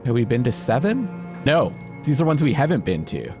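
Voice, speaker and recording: Male gaming host, mid-pitched, very lively delivery, thinks aloud and mutters, casual, game music underneath. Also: moderate pace. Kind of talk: casual let's-play commentary with reading aloud. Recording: music behind the voice.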